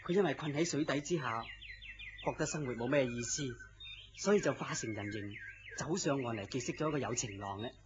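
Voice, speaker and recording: An actor playing a gentle, melancholy man in love, speaking earnestly and theatrically in Cantonese radio drama style. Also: mid-range voice, fairly quick, close to an everyday voice. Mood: storytelling. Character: lovestruck, gentle, self-deprecating melancholy man. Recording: dull lo-fi audio with noise.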